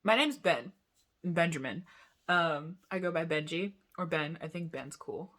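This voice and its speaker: A man's voice: a little high, unhurried, pushed and bright. A young man vlogging in a casual, rambling way, full of asides and giggles. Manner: chatty, giggly, talks in loose asides. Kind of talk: casual rambling chat to camera.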